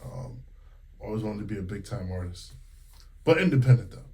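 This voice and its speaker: A man's voice: on the low side, moderate pace. A man in his thirties from Connecticut, a singer and music teacher, talking candidly in a casual interview with many fillers. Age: 30s. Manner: relaxed and candid, many fillers and quoted retellings.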